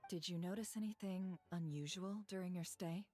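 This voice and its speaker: A woman voicing a composed, inquisitive investigator in a video game, asking measured, businesslike questions. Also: fairly low pitch, steady tone, a little mellow, natural voice. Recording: music behind the voice.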